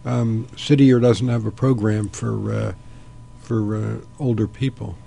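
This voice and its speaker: Genial older male radio host, gravelly. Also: fairly low pitch, flat delivery, a little slow, warm tone. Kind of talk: radio talk show.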